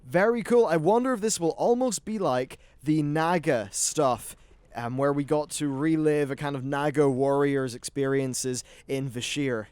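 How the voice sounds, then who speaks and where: A man's voice: a little high, darkish tone, clean tone, no room sound. A man giving casual, informal let's-play commentary on an online fantasy game, mildly sweary as he reacts to what unfolds. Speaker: gaming YouTuber.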